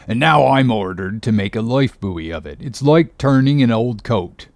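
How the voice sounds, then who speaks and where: A man's voice: fairly low pitch, darkish tone, no room sound, lightly acted. A man voicing a fussy, grumbling older ship's carpenter who mutters complaints to himself in an audiobook story.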